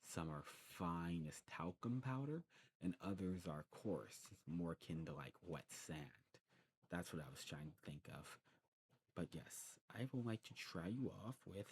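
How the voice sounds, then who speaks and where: A man's voice: sits low, lively intonation, unhurried. A man playing a demon snuff shopkeeper in an ASMR roleplay, explaining patiently in a hesitant, rambling way. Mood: patient, instructive. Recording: clearly noisy background.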